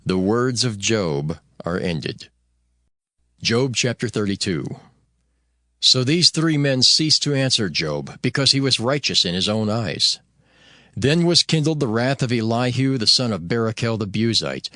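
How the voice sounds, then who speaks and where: A man's voice: mid-range voice, bright, forceful tone, no echo. A man narrating dramatized scripture in a solemn, formal manner.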